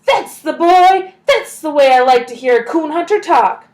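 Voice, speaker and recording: A woman voicing an excitable, kindly old grandfather who bursts into loud, eager shouts. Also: mid-range voice, moderate pace, lightly acted. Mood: excited.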